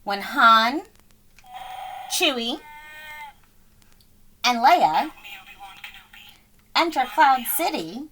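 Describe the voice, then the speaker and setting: A woman reading a children's story aloud in an expressive, dramatic way, with deliberate phrasing and stressed words. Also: a little high, a little hiss or hum, low-quality recording. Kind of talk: expressive scripted read-aloud narration.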